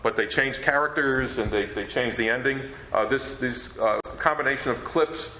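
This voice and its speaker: A man's voice: medium pitch, moderate pace, pushed and bright. A man co-presenting an informal talk, explaining things in a conversational way. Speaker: co-presenter, radio program collector. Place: indoor presentation room. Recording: echoey room, faint background noise.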